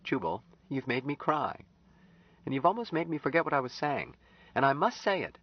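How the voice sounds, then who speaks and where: A man's voice: mid-range voice, sing-song, normal speed, pitched above the performer's own voice. A man voicing an earnest, pleading young woman. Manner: pleading, gently insistent. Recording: slightly dull lo-fi audio.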